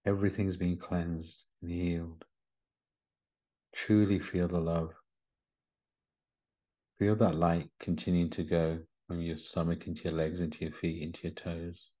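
A man leading a guided meditation in a gentle, soothing manner, with short unhurried instructions. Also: sits low, little pitch movement. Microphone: video call. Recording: slightly muffled video call audio.

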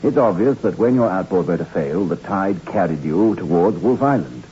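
An earnest country doctor in an old radio drama. His voice is low and swings expressively as he presses his case in formal, insistent speech. The recording is muffled and heavy with noise. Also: animated, normal speed, mellow. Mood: urgent, persuasive. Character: earnest, persuasive male country doctor with a secret. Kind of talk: 1960s radio drama acting.

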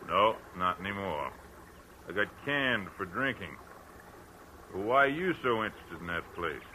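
A big, suspicious hired hand in vintage radio drama. His voice is lowish and very forceful, with blunt folksy phrasing and a threatening edge. The recording carries slight noise. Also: animated, measured pace, full character voice. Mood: prying. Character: big, surly, suspicious fired farmhand, menacing and dim. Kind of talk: 1940s radio acting. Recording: faintly noisy old radio recording.

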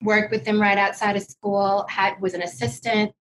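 A woman talking casually and emphatically as an interview guest in an office, over a noticeable low rumble. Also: little pitch movement, normal speed, fairly bright tone, rough recording. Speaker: podcast guest, wealth management executive.

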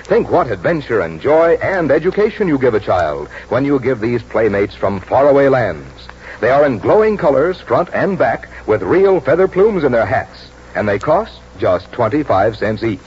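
A man's voice: mid-range voice, very animated, moderate pace, a little mellow. A polished male announcer on 1940s radio, selling with enthusiasm. His mid-range voice swoops expressively, heard through a dull recording with faint noise. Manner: polished, enthusiastic sales delivery. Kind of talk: scripted 1940s radio advertising read. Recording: dull, noisy vintage radio broadcast.

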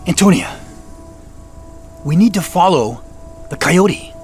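An actor playing an excitable, uneasy but earnest camper in a naturalistic audio drama, speaking in halting, emphatic phrases. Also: male voice, high voice, soft background music. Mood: urgent, earnest. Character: excitable, uneasy man on a camping trip, earnest.

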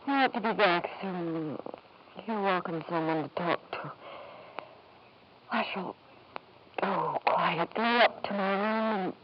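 A woman's voice: fairly low pitch, takes it slowly, pauses often. An actress playing a grieving, hard-drinking middle-aged mother in an old-time radio drama, bitter, weary and theatrical. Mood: weary, defeated. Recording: dull, noisy 1940s-50s radio recording.

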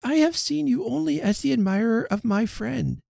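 A man reading the part of a proud young gentlewoman who firmly rejects a suitor, speaking crisply and emphatically. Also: extremely high pitch, lively intonation, normal speed, no room sound, clean studio sound, voice pushed up, heavily acted. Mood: indignant. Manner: crisp, emphatic, rising protest.

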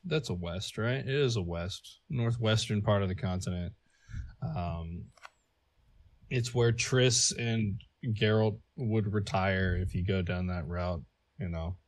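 A man's voice: fairly low pitch, takes it slowly. A man whose first language is not English, co-hosting a casual podcast and talking through notes with many fillers. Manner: chatty, filler-heavy, laughs at own jokes. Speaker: podcast co-host. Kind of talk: casual podcast lore talk.

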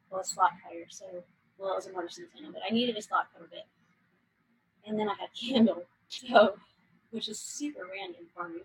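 A middle-aged woman talks through her woodworking life in relaxed conversation. Her voice sits a little high with a husky, breathy edge. She tells stories animatedly, often breaking off and restarting her sentences. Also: low-quality recording. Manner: animated, self-interrupting storyteller. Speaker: guest woodworker. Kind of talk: casual chat.

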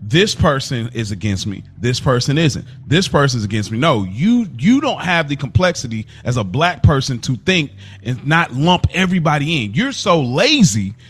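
A man's voice: medium pitch, sing-song, moderate pace, hardly any pauses, fairly bright tone, breathy edge. A man giving impassioned opinions as a podcast panelist, talking in informal slang and repeating himself for emphasis.

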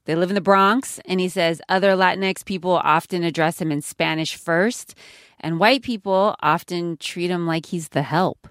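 A woman hosting a podcast, narrating in a clear, measured, conversational way. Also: lowish voice, fairly bright tone, no room sound, clean studio sound. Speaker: podcast host. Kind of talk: scripted narration and interviewing.

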